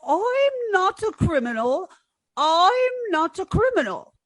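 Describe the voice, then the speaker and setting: High-pitched scatterbrained young woman played by an actress. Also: very animated, slow, full character voice. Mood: fretful. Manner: guileless, dim-witted earnestness. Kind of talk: 1940s radio comedy acting.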